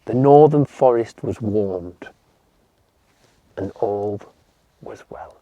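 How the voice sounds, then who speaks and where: A man telling a folktale to children in a slow, gentle voice that often drops to a hush. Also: fairly low pitch, dry recording, phone-quality sound. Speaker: children's storyteller. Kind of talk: storytelling for children.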